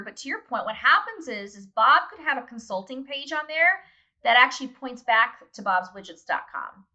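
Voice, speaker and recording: A woman's voice: a little high, pushed and bright, lo-fi sound. A woman guest explaining brand advice in a conversational, emphatic, instructive way, speaking directly to listeners. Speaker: guest, brand consultant and author.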